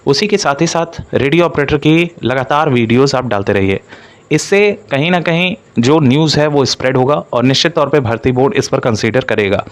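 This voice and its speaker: A man's voice: medium pitch, fairly quick. Man giving an explanatory update. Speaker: exam-coaching presenter. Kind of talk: informational update addressed to exam candidates.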